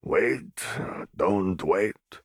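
A man voicing a huge, calm male fish-folk cook who speaks in halting, broken phrases with a rumbling voice. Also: no echo, studio quality, full character voice. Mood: calm, cryptic. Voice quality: rumbling, gravelly.

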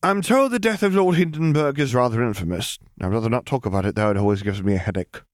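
Man voicing an odd, blunt, high-voiced young girl. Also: quick pace, no echo, studio quality, close to an everyday voice. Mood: reluctant. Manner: deadpan, self-assured, explanatory. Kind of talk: audiobook character voice.

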